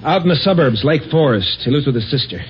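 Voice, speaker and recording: A man's voice: medium pitch, lively intonation, fast talker, lightly acted. An actor playing a nervous, desperate man of middle age who stammers and breaks into panic, in 1950s radio style. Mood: bitter, desperate. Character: frightened, desperate middle-aged office man. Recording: dull old radio broadcast with hiss.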